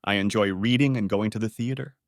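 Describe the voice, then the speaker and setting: A man teaching beginner English, reading short model sentences clearly and saying each one twice. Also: fairly low pitch, dry recording, clean studio sound. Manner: says each phrase twice, clearly and deliberately.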